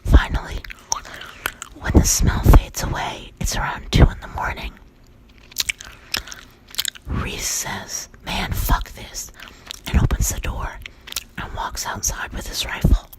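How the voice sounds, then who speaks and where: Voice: takes it slowly, pauses often, poor audio. A storyteller whispering a horror story throughout, in a loose, profane way.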